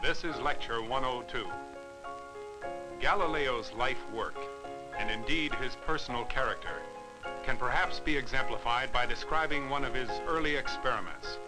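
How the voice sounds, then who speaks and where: A man's voice: medium pitch, moderate pace, over loud music, lightly acted, poor audio. A man giving a formal scripted science lecture in a precise, academic manner. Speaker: recorded lecturer.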